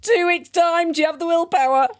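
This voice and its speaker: A woman's voice: very high voice, fairly even intonation, a little fast. A woman playing a bawdy, cheeky woman with many old flings, in a comic audio drama, earthy and teasing. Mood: playful.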